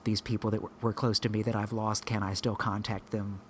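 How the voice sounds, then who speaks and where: A man with a fairly low voice co-hosts a casual podcast, wandering through his thoughts with restarts and asides. A faint hiss of noise sits under the recording. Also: little pitch movement, brisk. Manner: rambling, self-correcting, thinking aloud. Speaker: podcast co-host.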